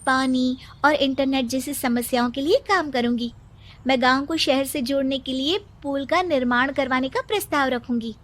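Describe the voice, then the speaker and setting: A bright young cartoon village girl who speaks earnestly and confidently, played by a woman. Her voice is high. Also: lightly acted.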